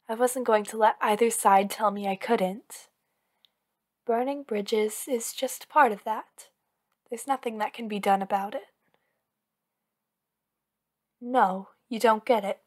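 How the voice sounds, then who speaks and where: A woman playing an anxious, possessive villain lover in an intimate ASMR roleplay, speaking in an earnest, pleading, insistent way. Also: on the high side. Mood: defensive, insistent. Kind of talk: ASMR roleplay acting, one-sided dialogue.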